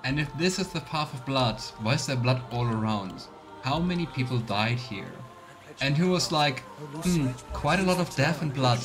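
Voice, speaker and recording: A man's voice: medium pitch, expressive, moderate pace. Wry male game streamer over music. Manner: musing, wry, sardonic. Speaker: streamer playing a role-playing game. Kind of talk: casual let's play commentary with in-character remarks.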